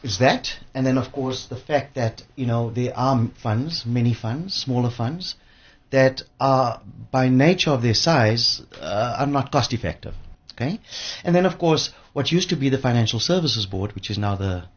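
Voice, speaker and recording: A man talks as an interview guest with a rough, husky voice. His pitch swings in a lively way as he stresses points by repeating words. The recording is slightly lo-fi. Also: moderate pace. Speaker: studio guest, pension fund expert. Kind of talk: conversational expert interview.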